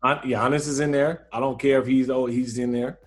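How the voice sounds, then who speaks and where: A man's voice: mid-range voice, fairly even intonation, a little fast. A man from the United States, a guest basketball player, chatting in an easygoing, hesitant way. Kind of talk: casual interview chat.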